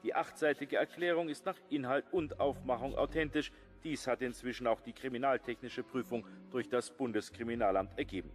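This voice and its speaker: A man plays a radio correspondent reading a factual, sober news report. His voice is mid-pitched, with faint music behind it. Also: fairly quick, few pauses, lightly acted.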